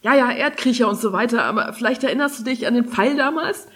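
A woman's voice: on the high side, fast, fairly bright tone, breathy edge, voice pushed up. An impulsive, curious girl of a fantasy tale voiced by a woman reader talks earnestly. Her lines are questioning and lifted a little above the narration. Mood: concerned. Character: curious, impulsive girl heroine who befriends dragons.

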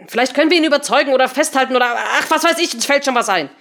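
A woman's voice: high-pitched, racing, a bit bright, voice pushed up. A woman narrator voicing an irritated male programmer in an audiobook, urgent and grumbling in manner. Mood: urgent, exasperated.

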